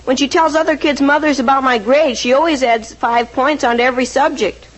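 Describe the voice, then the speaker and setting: A performer playing a polite, precocious young son in an old-time radio comedy, earnest and deadpan, on a noisy vintage recording. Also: high-pitched, a little fast, natural voice.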